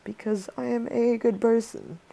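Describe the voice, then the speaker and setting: A man's voice: extremely high pitch, steady tone, normal speed, creaky, mellow, poor audio. A teenage boy from Poland with a Polish accent, joking and rambling as he plays a game and comments. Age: teens. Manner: joking, rambling, reads game lines in put-on voices. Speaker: gaming YouTuber. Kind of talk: casual let's play commentary.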